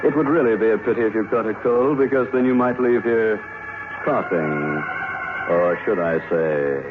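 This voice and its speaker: A man playing a gleefully macabre, punning horror host on old-time radio, theatrical and drawn-out with tongue-in-cheek menace. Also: on the low side, lightly acted. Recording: narrow-band old radio, loud music behind.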